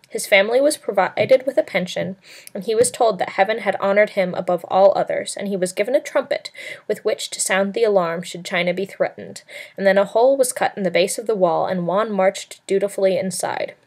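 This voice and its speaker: A woman's voice: medium pitch, fairly quick, husky. A woman narrating a novel as an amateur read-aloud, in a scripted storybook style. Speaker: amateur audiobook reader.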